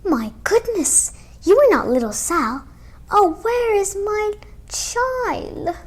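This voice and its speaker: A busy, caring mother from a picture book, played by a young girl. Her voice is high. She speaks slowly to her child. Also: expressive, full character voice. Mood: frightened. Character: little girl's mother, busy, caring adult woman.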